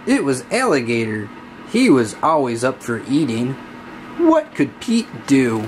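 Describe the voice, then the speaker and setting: A man reading a children's story aloud in a deliberate, singsong storybook manner. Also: mid-range voice, huge pitch swings, a little hiss or hum, poor audio. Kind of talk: scripted children's read-aloud narration.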